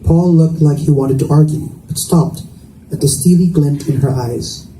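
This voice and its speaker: A man's voice: fairly high pitch, moderate pace, dark tone. A man reading scripted narration from a romance novel aloud in a roomy indoor space. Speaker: reader. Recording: echoey room, distant mic, faint noise.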